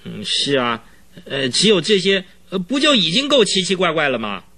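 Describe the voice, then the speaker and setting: An actor playing an agitated, talkative male client who recounts eerie events in a halting, rambling way in a radio drama, with a husky voice. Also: high-pitched, huge pitch swings, bright, forceful tone, heavily acted. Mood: perplexed. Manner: rambling, halting, full of interjections. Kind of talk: Chinese radio drama acting.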